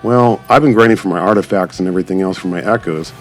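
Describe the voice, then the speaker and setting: A low-voiced man talks through his gameplay in a casual, rambling way, like a streamer addressing viewers. His speech is wordy and informal, with music playing under his voice. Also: fast talker. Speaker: gaming YouTuber. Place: voice-over on game footage.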